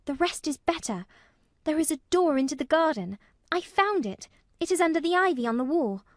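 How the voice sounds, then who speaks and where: A woman's voice: high-pitched, lively intonation, normal speed, no room sound, clean studio sound, voice pushed up. A woman voicing an earnest, blunt young girl in a storybook, speaking frankly and emphatically. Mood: solemn excitement. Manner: frank, matter-of-fact, emphatic. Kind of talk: acted storybook dialogue.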